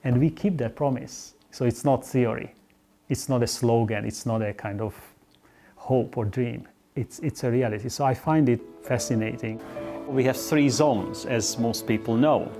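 A man's voice: medium pitch, normal speed, dark tone, faint music behind. A middle-aged man from Hungary, speaking in an earnest, upbeat interview tone with small restarts, on a lapel mic in an office. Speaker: interviewee, Olympic organizing expert. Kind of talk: relaxed interview talk. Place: indoor office.

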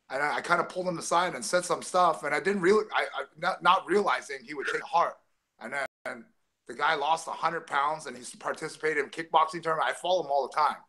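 A man's voice: a little high, bright, forceful tone. A man in his forties from Taiwan, telling stories as an interview guest over a video call, rambling with many restarts and fillers. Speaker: guest, former fighter. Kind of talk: casual interview storytelling. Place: home room with framed jersey. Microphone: video call webcam close up.

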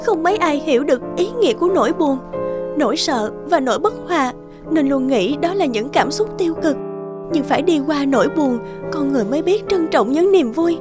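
Woman doing cartoon dark fairy, super high voice, proud n dramatic, misunderstood, music behind. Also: animated, a little fast. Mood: earnest, hurt. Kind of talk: animated fairy tale dubbing.

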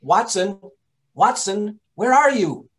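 A man playing a brisk, impatient detective who directs a rehearsal in an old radio drama style. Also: high-pitched, animated, fairly bright tone, slight background noise, exaggerated voice. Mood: exasperated. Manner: commanding, exasperated. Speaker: actor. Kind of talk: 1930s radio acting.

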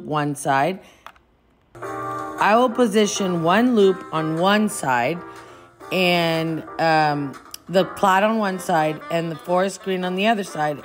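A woman, chatting and thinking aloud as she gives a casual decorating demonstration. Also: lowish voice. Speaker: home decor vlogger. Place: furnished living room at home, indoors.

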